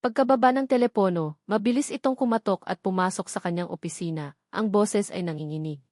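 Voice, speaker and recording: Woman, Filipino accent, audiobook narrator, dramatic storytelling, mid-pitched voice, dry recording. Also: fairly quick, a little mellow, dry recording.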